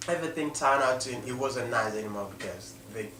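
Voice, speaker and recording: A young man from South Sudan with a South Sudanese accent tells a personal story unscripted, in a halting way with pauses mid-sentence. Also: mid-range voice, bright, forceful tone. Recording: echoey room, distant mic, faint noise.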